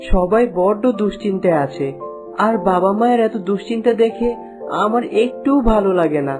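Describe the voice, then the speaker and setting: A woman's voice: medium pitch, lively intonation, fairly quick, over music, lightly acted. A woman voicing a curious, friendly young village boy in a children's cartoon, speaking earnestly. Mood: worried. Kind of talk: children's cartoon story dialogue.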